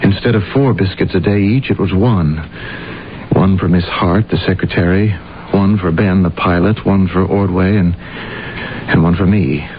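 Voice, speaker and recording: Nervous middle-aged ex clerk in old radio mystery, lowish voice, hesitant and earnest, dull hissy recording. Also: male voice, measured pace. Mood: uneasy recollection. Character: uneasy middle-aged former company clerk recounting his ordeal. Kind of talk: old-time radio drama acting.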